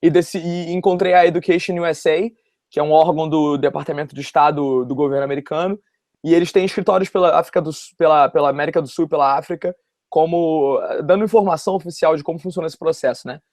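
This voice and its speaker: A man's voice: a little high, steady tone, fast, a little mellow, dry recording, clean studio sound. A man in his early twenties with a Rio de Janeiro accent, telling his story casually and in a rambling, informal way. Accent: Rio de Janeiro Brazilian Portuguese. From West Zone of Rio de Janeiro, Brazil. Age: early 20s. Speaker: interview guest, university student. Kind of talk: casual personal storytelling in an interview.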